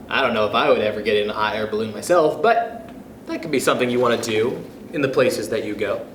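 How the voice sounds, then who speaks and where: A young man reading a picture book aloud to children, gentle and animated, with soft chuckles and friendly asides. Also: lowish voice, fairly quick, pauses often, roomy sound, a little hiss or hum, poor audio. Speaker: youth club storyteller.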